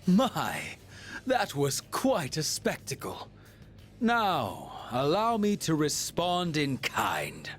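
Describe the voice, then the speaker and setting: A cold, lofty game villain who speaks slowly and with disdain. His pitch sweeps widely through each condescending phrase, with music playing underneath. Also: huge pitch swings, full character voice. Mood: mocking amusement. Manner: slow, theatrical, condescending. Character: cold, contemptuous male villain with a lofty purpose. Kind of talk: dramatic video game voice acting.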